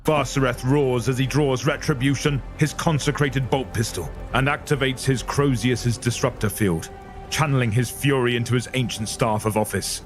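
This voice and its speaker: A man reading dramatic scripted narration for a dark audio story. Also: mid-range voice, steady tone, normal speed. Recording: narration over loud music.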